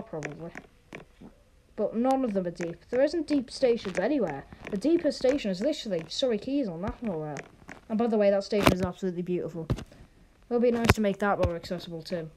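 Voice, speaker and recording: High, animated chatty game vlogger. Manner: rambling, chatty, opinionated. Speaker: video host. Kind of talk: casual gaming vlog commentary. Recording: clearly noisy home recording with clicks.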